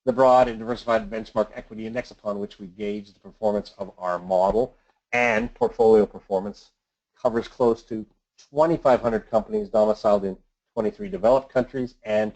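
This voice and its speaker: A man reads scripted market commentary as an investment adviser on a webinar, in a measured, formal, businesslike manner. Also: on the low side, gravelly, darkish tone. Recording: dull lo-fi conference call audio.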